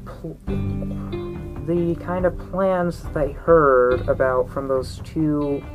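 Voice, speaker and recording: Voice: medium pitch, low-quality recording. A narrator giving an informal recap of a tabletop game story. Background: music under the recap.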